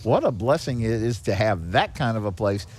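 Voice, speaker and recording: A man's voice: fairly low pitch, very animated, moderate pace. A man speaking as an interview guest in a casual, explanatory way, upbeat and appreciative. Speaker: guest, charity executive director. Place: on location at a garage restaurant. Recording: clear background noise on location.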